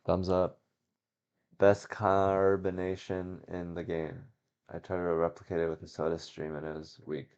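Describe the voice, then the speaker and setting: A man improvises silly scenes on a podcast with a flat, deadpan delivery and frequent laughter. His voice is very deep and smooth. The recording is dry and a little dull. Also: measured pace, dry recording. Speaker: podcast host. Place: house.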